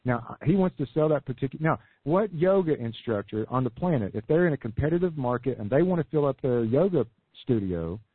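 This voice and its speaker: A man coaching entrepreneurs in a podcast interview, losing his voice, talking in an energetic sales pitch with many restarts. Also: brisk, few pauses, slightly rough, no echo. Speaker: business coach guest. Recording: phone-like lo-fi dry audio.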